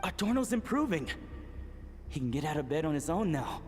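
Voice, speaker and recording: An actor playing a desperate, secretive male scientist in a video game, speaking in an agitated, halting, dramatic way. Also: a little high, big pitch swings, a little hiss or hum. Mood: desperate, pleading. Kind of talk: English video game voice acting.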